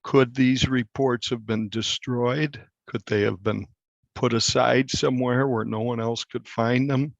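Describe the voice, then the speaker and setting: A male reporter answers interview questions with deliberate, halting phrasing. His voice is creaky and gravelly at an average pitch, and the recording is dry and somewhat muffled. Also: steady tone, no room sound. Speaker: newspaper reporter being interviewed. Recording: dull, slightly muffled lo-fi sound.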